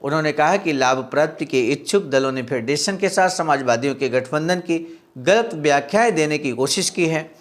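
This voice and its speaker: A man's voice: a little high, animated, moderate pace. A man narrating, reading a historical speech report aloud in a formal way and addressing listeners respectfully.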